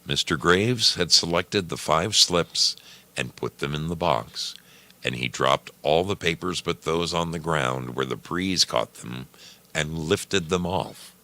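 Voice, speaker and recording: A man narrating a short story as a program's storyteller, deliberate and theatrical in his delivery. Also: rumbling low, bright, forceful tone.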